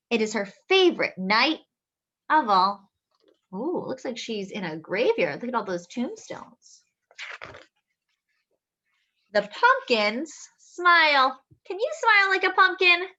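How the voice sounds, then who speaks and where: A woman hosting story time, reading a picture book aloud to young children in an expressive, sing-song way, with drawn-out phrases and little asides and questions. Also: high-pitched, dull audio.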